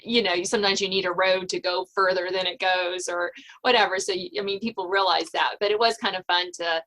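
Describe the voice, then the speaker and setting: An American woman hosting a casual interview, informal and somewhat halting as she works her way through questions and comments. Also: lowish voice, fairly even intonation, fairly bright tone. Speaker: podcast host.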